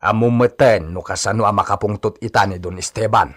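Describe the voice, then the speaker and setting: An actor with an Ilocano accent playing a loyal, cautious hacienda servant in a radio drama, gently chiding. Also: male voice, on the low side, brisk, natural voice.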